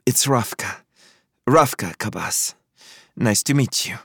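Man voicing a composed Lebanese woman, pitched high. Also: lively intonation, gravelly, a bit bright, breathy edge, dry recording, studio quality, exaggerated voice. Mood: gentle, grateful. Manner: formal, deliberate phrasing without contractions. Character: composed, forceful Lebanese woman, a politician's wife. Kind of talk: audiobook character voice.

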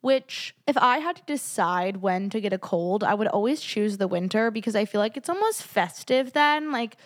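A woman's voice: mid-range voice, lively intonation, moderate pace. Stuffy-nosed chatty woman podcaster. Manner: chatty and gushing, heavy use of "like". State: stuffy from a summer cold. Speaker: podcast host. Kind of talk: casual solo podcast chat.

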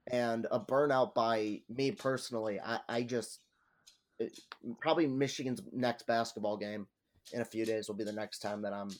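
A man co-hosting a podcast, congested and a little nasal, speaking in a subdued, halting way. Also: medium pitch. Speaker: podcast co-host. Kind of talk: casual chat.

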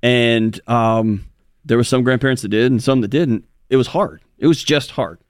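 A man's voice: mid-range voice, normal speed, smooth, no echo, clean studio sound. A man co-hosting a talk show, speaking earnestly in casual conversation with frequent restarts. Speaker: co-host.

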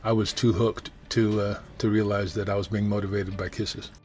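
A middle-aged man with an American accent, an interview guest telling stories in a casual, halting, self-deprecating way. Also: on the low side, little pitch movement, darkish tone, faint music behind. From United States. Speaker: interview guest, actor and theater professor. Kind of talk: casual conversational storytelling.

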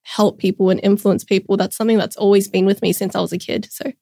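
A woman's voice: medium pitch, level tone, fast talker, creaky, mellow, no echo, clean studio sound. A young woman chatting upbeat as a podcast guest, with many casual fillers. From Australia. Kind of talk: casual interview chat.

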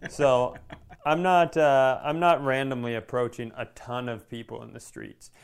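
A man co-hosting a comedy podcast, telling anecdotes casually with animated, self-deprecating delivery and some swearing. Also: mid-range voice, unhurried, clear, resonant tone. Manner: animated storytelling with profanity.

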